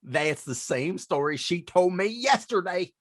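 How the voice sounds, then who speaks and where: Man acting a slow, smug lovestruck butcher. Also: sits high, expressive, no echo. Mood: smitten. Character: smug lovestruck male butcher, flirtatious and wisecracking. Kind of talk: old-time radio comedy acting.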